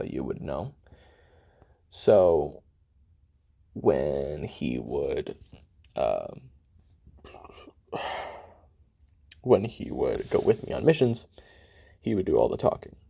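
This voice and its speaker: A man playing a brooding, hot-tempered assassin in an audio roleplay, recounting his memoirs in a halting, casual, profane confessional with a gravelly, husky voice. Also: extremely low pitch, warm tone, heavily acted. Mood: hesitant recounting. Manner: halting, casual, profane, with sighs and trailing pauses.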